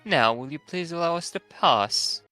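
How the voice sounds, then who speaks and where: A man's voice: fairly high pitch, lively intonation, normal speed, bright, forceful tone. A man voicing a poised, proper castle head maid in a video game, formal and composed. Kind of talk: voiced video game playthrough. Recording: music behind the voice.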